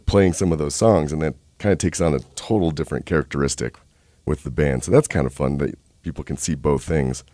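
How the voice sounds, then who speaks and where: Deep-voiced laid-back musician man. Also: mellow, no echo. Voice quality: deep speaking voice. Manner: laid-back, easygoing and unhurried. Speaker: musician guest. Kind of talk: casual interview chat. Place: radio studio.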